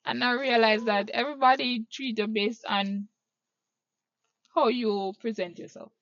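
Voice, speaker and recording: Slow, hesitant Jamaican woman. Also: on the high side, steady tone, fairly bright tone, no room sound, dull audio. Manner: slow, hesitant, thinks aloud. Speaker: interviewee. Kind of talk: casual interview answer.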